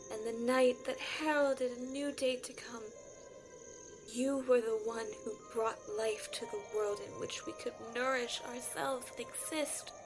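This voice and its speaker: A stately female judge in a fantasy audio drama played by a woman, formal and commanding. Her high voice moves slowly and deliberately, with music clearly playing behind it.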